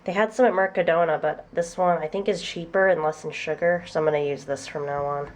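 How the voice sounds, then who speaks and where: Slightly low, congested young woman vlogging. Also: fairly even intonation, a little fast, slight background noise. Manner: chatty, upbeat, laughs often. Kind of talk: casual cooking vlog. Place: small apartment room and kitchen, indoors.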